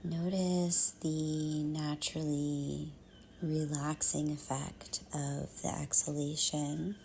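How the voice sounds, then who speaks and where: Woman, meditation guide, soft breathy half-whisper, soothing, narrow even pitch, long pauses, faint background ambience. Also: slight background noise.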